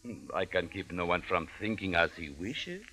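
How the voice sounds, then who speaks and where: An actor playing a pompous phony mystic prince in an old radio drama, declaiming in grand, formal, theatrical phrases. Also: male voice, low-pitched, animated, normal speed, sharp tone. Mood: evasive. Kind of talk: 1950s-style radio drama acting. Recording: vintage radio recording.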